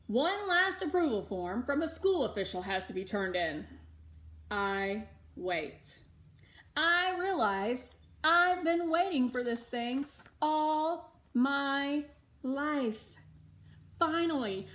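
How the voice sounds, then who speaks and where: A woman with an American accent reading a storybook aloud for schoolchildren in an animated, emphatic way. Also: high-pitched. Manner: animated, emphatic, with occasional asides. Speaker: read-aloud narrator for schoolchildren. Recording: roomy, echoing indoor sound.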